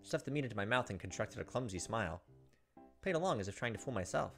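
A man's voice: lowish voice, lively intonation, fast, music in the background. A man in his thirties hosting a gameplay video, expressively reading a visual novel's narration aloud.

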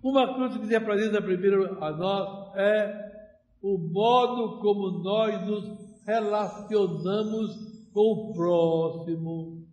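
Very slow, high-pitched Brazilian male preacher. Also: darkish tone, lo-fi sound. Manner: solemn, deliberate, with long pauses between short phrases. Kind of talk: homily. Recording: roomy, echoing church-like sound.

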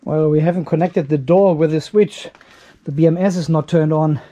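A man in his fifties with a fairly high voice talks through a DIY job in his garage workshop. He is informal and thinks aloud as he goes. There is some noise in the background. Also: steady tone, normal speed, warm tone. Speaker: DIY video host. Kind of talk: hands-on workshop narration, casual. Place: garage workshop with metal roof.

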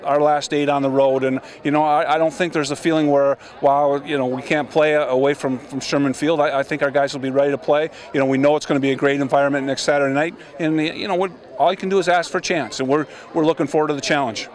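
A middle-aged man, a football coach, giving a postgame interview outdoors on a field into a handheld mic, talking quickly with many fillers and restarts. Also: on the high side, flat delivery. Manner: rapid, with many fillers and repeated words. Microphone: handheld microphone.

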